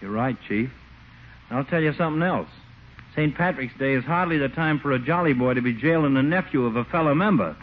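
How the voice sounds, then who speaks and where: A man's voice: medium pitch, lively intonation, a little fast, natural voice. An actor playing a wisecracking, sociable small-town man in an old radio comedy, folksy and wry. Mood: teasing. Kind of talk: 1940s radio sitcom acting. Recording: muffled, noisy old radio recording.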